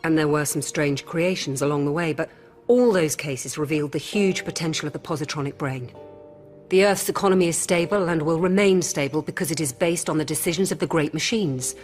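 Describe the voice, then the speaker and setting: A low-pitched, coolly rational female android played by an actress in a radio play. She speaks formally and patiently, as if explaining pure logic, with prominent music underneath. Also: lightly acted. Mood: cool, composed. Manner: measured, formal, didactic. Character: calm, coolly rational female robot hybrid in human guise. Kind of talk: radio drama acting. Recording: music behind.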